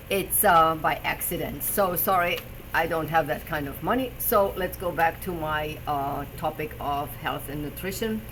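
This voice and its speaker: A woman's voice: low voice, unhurried, fairly bright tone, slight background noise, low-quality recording. A woman with a German accent, hosting a live stream as a personal trainer, chatting about health with frequent fillers while searching for English words. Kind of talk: casual live stream talk.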